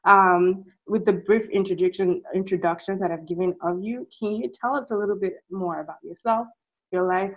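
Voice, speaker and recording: A young woman hosting an interview, encouraging and quick with affirmations as she asks her questions. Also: lowish voice, muffled recording. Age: young adult.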